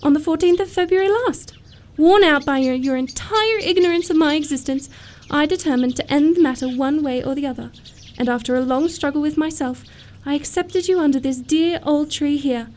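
A woman's voice: sits high, brisk, few pauses, a little mellow, close to an everyday voice. A woman playing a romantic, self-assured young upper-class lady in a period comedy radio play, precise and sweetly matter-of-fact.

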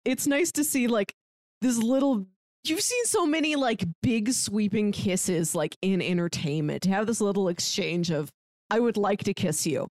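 A woman's voice: medium pitch, lively intonation, moderate pace, darkish tone, no echo, studio quality. A woman giving casual, conversational commentary, peppered with "like" and bits of imagined dialogue. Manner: voices imagined dialogue as examples. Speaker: podcast co-host.

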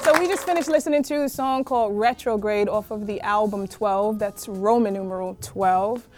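A woman hosting a live studio interview podcast, playful and lively, fond of wordplay, speaking from a radio studio. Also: mid-range voice, normal speed. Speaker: podcast host.

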